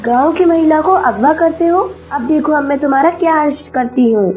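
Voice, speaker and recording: A woman's voice: sits high, a little fast, a little mellow, a little hiss or hum, natural voice. A woman voicing a brave, determined young village wife in a cartoon story, earnest and emphatic. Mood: determined, stern. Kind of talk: Hindi cartoon story acting.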